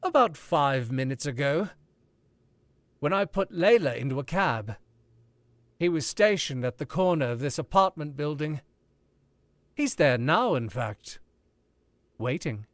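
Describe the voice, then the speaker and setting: A suave, ironic author, as an actor plays him in an old-time radio thriller. He speaks slowly and coolly, with a lively voice that rises and falls. The mic is close and dry. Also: fairly high pitch, no room sound. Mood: coolly menacing. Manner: cool, measured, ironic. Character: suave, probing older male author. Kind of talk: 1940s radio acting.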